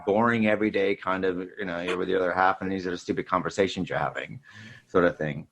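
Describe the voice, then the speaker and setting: A man's voice: deep voice, steady tone, fast talker, creaky, fairly bright tone, rough recording. A man speaking as a podcast host in a casual film discussion, often tacking small verbal tags onto his sentences. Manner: repeats verbal tags like "sort of thing".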